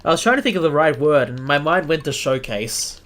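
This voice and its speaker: An Australian man hosting a live stream, chatting casually with viewers and often pausing to search for the right word. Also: fairly high pitch, lively intonation, fairly bright tone. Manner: chatty, searches for words, self-deprecating.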